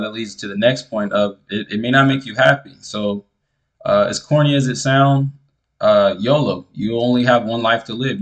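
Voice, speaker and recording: A man with a fairly low voice, talking straight to camera in a relaxed vlog. He is earnest and keeps circling back to the same point. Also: muffled recording. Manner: earnest, repetitive, speaks straight to the viewer. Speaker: music producer giving advice. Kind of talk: casual advice vlog.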